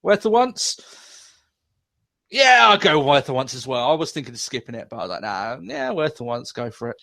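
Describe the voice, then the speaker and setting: A man's voice: mid-range voice, expressive, normal speed, sharp tone, no room sound, studio quality. A young man hosting a podcast, reviewing wrestling in casual, profane chat with frequent laughs. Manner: profane, laughs often, rambling. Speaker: podcast host.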